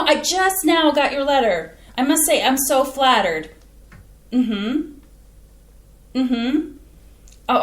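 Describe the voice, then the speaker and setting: A bubbly, folksy homemaker wife from Wisconsin, played by a woman in a stage reading, her bright high voice swooping through excited exclamations. She talks in a lively Upper Midwest lilt, and the sound rings around an echoey theater room. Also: heavily acted. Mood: phone chat. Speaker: actor in a community staged reading.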